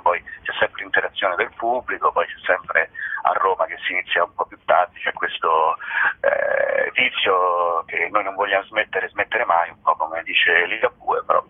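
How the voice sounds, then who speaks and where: A man's slightly low voice comes through a narrow, phone-like radio line. He talks off the cuff as an interview guest, rambling a little, hesitating and correcting himself. His delivery is informal and jokey. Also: husky, no room sound. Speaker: comedy performer and show presenter, interview guest. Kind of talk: spontaneous interview chat.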